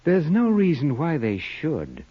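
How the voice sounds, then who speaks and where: A man's voice: on the high side, wildly swooping pitch, a little slow, full character voice. An American actor playing a composed, wry racketeer boss who chooses his words politely, in an old radio crime drama. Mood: tense, bargaining. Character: suave, composed racketeer boss with veiled threats. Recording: muffled old radio recording.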